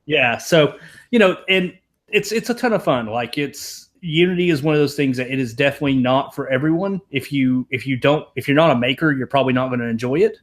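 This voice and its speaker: A man's voice: mid-range voice, a little fast. Southern US accent, young man, podcast guest, rambling, self-deprecating, casual swearing, mid-range voice. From American South, Tennessee. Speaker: guest, programmer.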